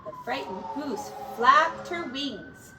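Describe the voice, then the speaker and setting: A woman reading a children's picture book aloud as a teacher, in a deliberate, expressive storytelling manner. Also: sits high. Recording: distant mic with faint background noise.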